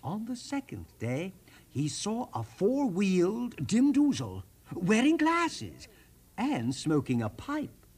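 A man reading a children's story aloud in an expressive, theatrical way, lingering deliberately on the descriptions. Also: sits high, breathy edge. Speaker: storyteller host.

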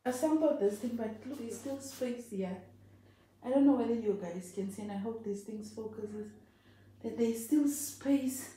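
A Namibian woman chats to her viewers in a loose, rambling way as she works around the house. She sits at a middle pitch and is picked up from across the room by the camera. The sound bounces hard around the bathroom, with a faint hiss underneath. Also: a little slow, dark tone. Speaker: vlogger. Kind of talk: casual home vlog chat. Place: tiled bathroom at home. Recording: distant, very echoey home room.

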